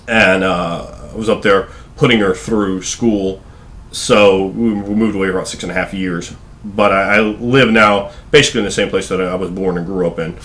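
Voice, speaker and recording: Low-voiced Southern man rambling to camera. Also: fairly even intonation, normal speed, a bit bright. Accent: Southern US (Virginia). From Virginia, USA. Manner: rambling, many fillers and restarts. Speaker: vlogger. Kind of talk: casual talking-head vlog. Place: living room at home.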